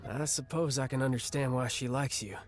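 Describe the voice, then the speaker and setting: A man acting an angry, ashamed younger brother in a video game cutscene, with a halting, bitter, confessional delivery. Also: mid-range voice, close to an everyday voice. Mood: subdued. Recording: music under the voice.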